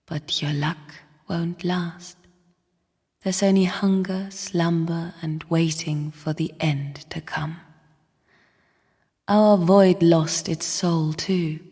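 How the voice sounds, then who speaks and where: Casting: scornful female game spirit, low husky whisper, slow cryptic delivery, long cavernous reverb. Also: a little mellow, heavily acted. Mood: ominous, then bleak, resigned. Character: bleak, bitter female spirit sister of a dying void. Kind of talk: video game character monologue. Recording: long reverberant hall echo.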